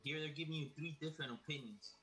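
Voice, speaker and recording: A man's voice: a little high, quick pace, bright, forceful tone, rough recording. A man from Miami chatting casually as a participant on a live stream. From Miami, United States. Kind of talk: casual chat. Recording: music under the voice. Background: music.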